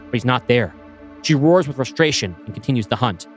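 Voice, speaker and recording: A man reading a sci-fi screenplay aloud, setting scenes with brisk stage directions. His voice is mid-pitched and plain, with faint music playing underneath. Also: animated.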